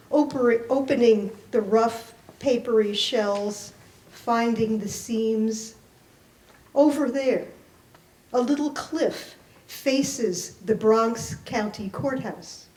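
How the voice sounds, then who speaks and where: Woman poet, slightly high register, slow careful delivery, live roomy acoustic. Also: darkish tone. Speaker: poet reading their own work at a public event. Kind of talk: dramatic poetry reading with spoken introduction. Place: indoor library reading event. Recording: roomy, echoing live event recording.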